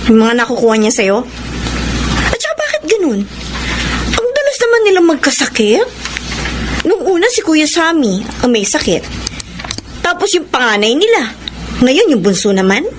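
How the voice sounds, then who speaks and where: A high-voiced, plaintive wife. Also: female voice, very animated, normal speed, long pauses, noisy background. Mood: suspicious. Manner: plaintive, reproachful. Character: hurt, questioning wife, resigned yet assertive. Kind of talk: radio drama acting.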